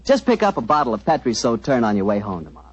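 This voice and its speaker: A man with an American accent announcing and pitching for a sponsor in a folksy 1940s radio style. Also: sing-song, fast, breathy edge, dry recording. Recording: muffled noisy 1940s radio recording.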